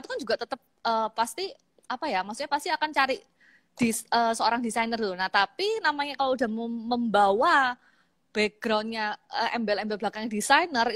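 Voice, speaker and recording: A woman in her thirties from East Java with a Javanese accent, chatting casually as a guest on a live-stream interview, laughing easily and filling pauses with hesitations. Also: fairly high pitch, a bit bright, dry recording. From Malang, East Java, Indonesia. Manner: chatty, laughs easily, many fillers and restarts. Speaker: guest, makeup artist. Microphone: phone on an online live stream.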